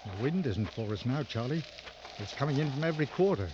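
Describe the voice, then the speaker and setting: An actor in an old radio drama playing a weary, sardonic big game hunter with a gruff, brooding manner. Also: male voice, medium pitch, lively intonation, a little fast, natural voice. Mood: tense, watchful. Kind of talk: 1940s-60s radio drama acting. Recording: dull, noisy old radio recording.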